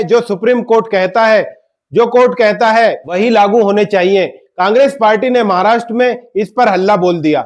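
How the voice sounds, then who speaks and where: A man with a clearly high-pitched voice presents political news commentary. He reads scripted material emphatically, addressing viewers directly with pointed rhetorical questions. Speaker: news commentator and channel host.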